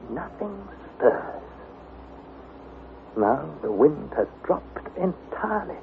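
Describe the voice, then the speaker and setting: Anxious young adventurer, tinny, noisy recording. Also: male voice, on the high side. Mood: uneasy, hushed. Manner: theatrical, intense, descriptive. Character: anxious young nephew narrating a perilous sea voyage. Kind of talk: dramatised first-person journal narration. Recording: tinny narrow band, heavy background noise.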